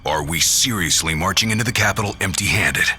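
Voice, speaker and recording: An actor playing a gruff, blunt, burly young male fighter in a video game, talking in clipped, casual phrases. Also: low-pitched, a little fast, sharp tone, soft background music. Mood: grim resolve. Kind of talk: video game cutscene acting.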